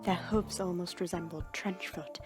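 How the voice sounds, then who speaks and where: A woman storyteller reading scripted fiction dramatically, with suspenseful, breathy phrase endings. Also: fairly low pitch, fairly even intonation, normal speed, music in the background.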